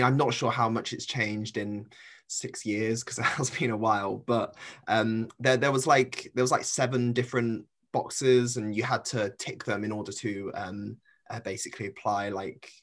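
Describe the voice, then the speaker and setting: A young man from Cornwall, a PhD student guest, talking casually on a podcast with many pauses and fillers. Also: lowish voice, a bit bright, breathy edge. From Cornwall, England. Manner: hesitant, many fillers, laughs lightly.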